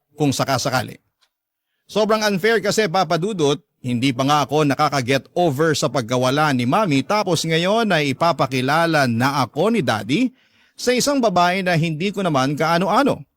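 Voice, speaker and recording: A man's voice: on the high side, no echo, clean studio sound. A man with a Filipino accent narrating a listener's letter in the first person, as a dramatic radio storyteller.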